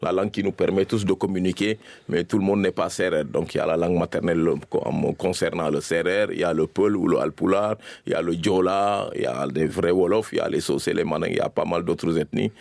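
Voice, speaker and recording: A man explains things methodically, listing one item after another. His voice sits low and has a gravelly fry. The sound is dry and close, like a studio mic. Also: steady tone, quick pace, few pauses, a little mellow, dry recording. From Senegal. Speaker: guest. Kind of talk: explanatory radio interview answers.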